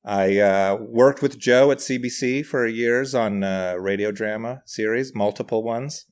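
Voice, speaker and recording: A man's voice: mid-range voice, a little slow. A middle-aged man, telling anecdotes casually in a self-deprecating, hesitant way as a podcast guest. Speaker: podcast guest, writer and comedian.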